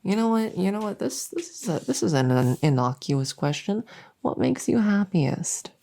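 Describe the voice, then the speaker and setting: A woman playing an anxious, overworked but friendly monster under the bed in a comedic ASMR roleplay, rambling and stammering softly, often slipping into a whisper. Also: mid-range voice, sing-song, moderate pace, vocal fry. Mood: eager, scheming. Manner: rambling, nervous, stammering and repeating herself.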